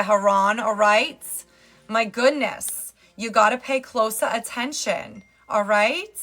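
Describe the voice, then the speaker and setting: A woman's voice: fairly high pitch, bright, forceful tone. A woman with a New Jersey accent chatting casually on a fitness livestream. She jokes around and greets viewers by name. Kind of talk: casual live-stream chat.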